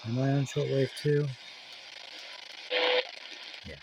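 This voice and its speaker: Slow, low-key man over noisy background. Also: steady tone. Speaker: radio reviewer. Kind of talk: casual hands-on demonstration. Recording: clear background radio static noise. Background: shortwave radio noise.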